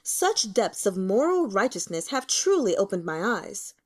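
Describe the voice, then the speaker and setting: A woman performs a haughty nobleman from a fantasy audiobook, sly and spiteful. His voice is pitched up and swings widely, with a mocking, drawling delivery full of disdain. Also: big pitch swings, measured pace. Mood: scornful. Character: sly, sneering aristocratic lord, male, spiteful troll.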